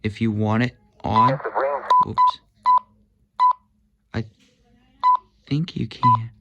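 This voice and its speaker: A man's voice: medium pitch, huge pitch swings, drawn-out words, highs cut off, background noise. A man casually demonstrating walkie-talkies, hesitant, with many fillers and corrections of himself. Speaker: gadget reviewer.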